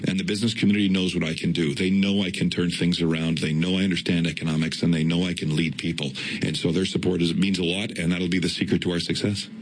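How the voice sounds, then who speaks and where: Deep husky man on the radio. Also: flat delivery, rough recording. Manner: deliberate, explanatory, campaign-pitch delivery. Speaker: political candidate, interview guest. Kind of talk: radio interview answers.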